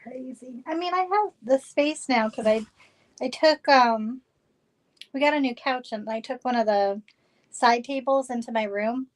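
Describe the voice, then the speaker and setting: A woman with a high voice chats casually with a friend. She takes her time over her words and laughs easily, keeping the tone light. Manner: easygoing, quick to laugh. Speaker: guest. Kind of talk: casual chat.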